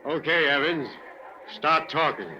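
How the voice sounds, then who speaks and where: An actor playing a confident male police inspector who gives brisk orders, in an old radio drama. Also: fairly high pitch, lightly acted. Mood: commanding. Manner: brisk, commanding. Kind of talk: 1940s radio acting. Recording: dull lo-fi old radio recording, faint hiss.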